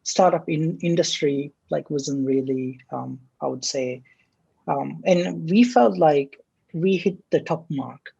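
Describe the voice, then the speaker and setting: Husky, gravelly man from the Maldives. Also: on the high side, takes it slowly, muffled recording. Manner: relaxed, hesitant, many fillers. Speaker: startup co-founder and CTO. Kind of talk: casual interview answers.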